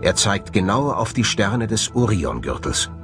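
Low, expressive male documentary narrator. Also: measured pace. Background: background music.

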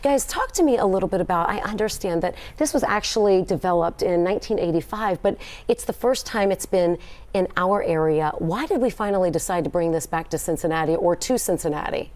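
A woman news anchor with a notably low voice speaks in an upbeat, emphatic studio manner. She is middle-aged and miked with a clip-on lapel mic in a TV studio. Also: expressive, a little fast, a little mellow. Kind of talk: live studio interview.

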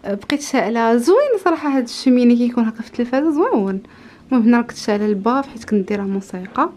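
Fast-talking young Moroccan woman. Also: a little high, animated, darkish tone. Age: 20s. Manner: informal, chatty, mixes in French loanwords. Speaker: lifestyle vlogger. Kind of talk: casual chatty vlog talk to camera. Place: indoors in a bedroom at home. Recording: home recording with clear background noise.

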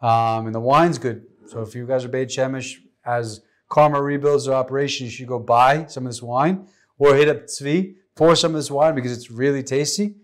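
A man's voice: mid-range voice, steady tone, normal speed. A man in his thirties hosting a casual wine tasting chat in a studio, enthusiastic and rambling, with a lapel mic. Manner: enthusiastic, rambling with many likes. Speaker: host. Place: studio set with vineyard backdrop.

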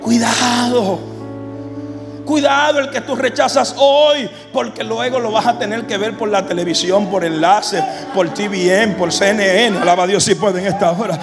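Dominican man pastor, very high voice, fervent sermon, reverberant church hall, music playing behind. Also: fairly even intonation, normal speed. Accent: Dominican Spanish. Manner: fervent preaching, swings between shouts and hushed lines. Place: large church stage with an audience. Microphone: handheld microphone.

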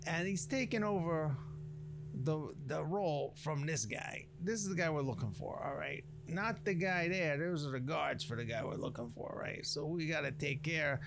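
Guy doing a brash wise guy chef telling tales over a game, high gravelly voice, rambly n slangy. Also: normal speed, noisy background. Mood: cocky, amused. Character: brash old wasteland chef recounting a legend. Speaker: narrator of a roleplay series. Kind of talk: improvised in-character game commentary.

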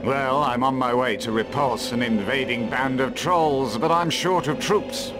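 An actor playing a fierce, hearty northern warrior chieftain in a fantasy game, bold and boisterous. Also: male voice, mid-range voice, a little slow, close to an everyday voice. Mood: hearty, bloodthirsty. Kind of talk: fantasy video game cutscene acting. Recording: loud music under the voice.

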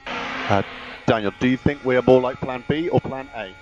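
Over a dull, lo-fi team radio link, a man works as a race engineer. His voice sits at an ordinary pitch and he gives clipped, measured instructions, repeating the important calls. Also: background noise. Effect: team radio transmission.

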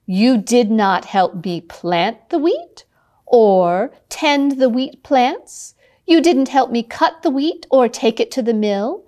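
A busy little red hen from a children's storybook, voiced by a woman. She is determined and emphatic, her voice fairly high and very animated, and she takes her time over the words. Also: slow. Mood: firm scolding.

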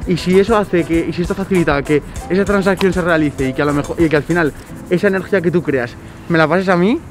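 Young man, quick chatty vlog talk, slightly high voice, background music. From Spain. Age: 20s. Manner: fast, chatty explaining to viewers. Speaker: vlogger. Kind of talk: casual vlog talk to camera. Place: car, parking lot, then room at home. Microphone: handheld camera at arm's length.

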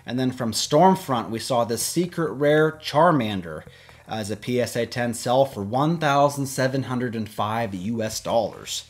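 Male host, 30s, mid-pitched voice, upbeat and informative rundown delivery for an online video. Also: animated, measured pace. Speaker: YouTube host. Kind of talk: scripted-style auction price rundown to camera. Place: small indoor room with shelves of collectibles.

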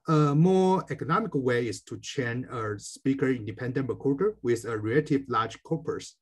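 A man narrates an academic research talk from a script. His voice sits at a medium pitch with a husky, slightly rough edge. The delivery is careful and formal. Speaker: researcher presenting a paper.

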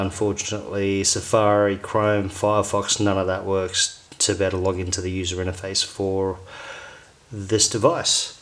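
A man talking casually through a how-to demonstration in an informal, explanatory way. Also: on the low side. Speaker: amateur tutorial maker.

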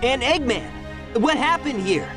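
A man's voice: extremely high pitch, sing-song, measured pace, over music, heavily acted. A man voicing a cocky, breezy young hedgehog hero in a video game, with a brash, slangy way of talking. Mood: startled. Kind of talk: video game cutscene acting.

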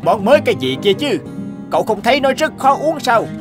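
An indignant old cartoon traditionalist. His voice is high and very animated, full of grumpy complaint, with music behind. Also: a little fast. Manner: complaining, scolding. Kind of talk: Vietnamese cartoon dub.

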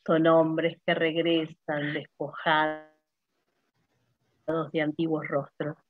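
A woman's voice: low-pitched, completely flat delivery, slow pace. A woman poet with a Uruguayan Spanish accent, speaking at an online literary event. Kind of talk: poetry reading and introductory remarks at an online launch. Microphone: video call. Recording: dull lo-fi video call audio.

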